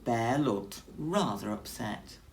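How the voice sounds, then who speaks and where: A woman's voice: extremely low pitch, lively intonation, slow, vocal fry, slight background noise. A woman teacher reading a story expressively to young children.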